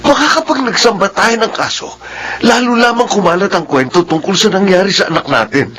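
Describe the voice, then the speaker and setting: A man's voice: sits high, brisk, crowd noise, close to an everyday voice. An actor with a Filipino accent playing an uneasy businessman father in a radio drama, hesitant and placating. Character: uneasy, image-conscious businessman father, placating his wife.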